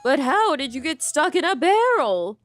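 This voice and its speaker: A woman voicing a prim, polite and sensible game creature with a formal, measured manner. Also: high voice, sing-song, soft background music. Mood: puzzled. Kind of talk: game character read aloud.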